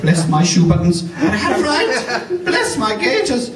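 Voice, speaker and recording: Excitable eccentric gentleman, very high voice, fussy exclamations, radio adventure style, roomy distant sound. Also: moderate pace, a loud crowd, exaggerated voice. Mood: flustered. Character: excitable, bumbling, good-natured eccentric gentleman motorist. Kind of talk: old-time radio adventure acting. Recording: roomy, distant microphone, faint noise.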